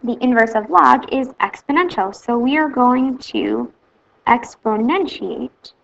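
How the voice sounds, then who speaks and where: Creaky-voiced woman explaining math. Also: a little high, measured pace, lo-fi sound. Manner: plain, steady step-by-step explaining. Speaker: math teacher. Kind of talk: explaining math steps in a video lesson.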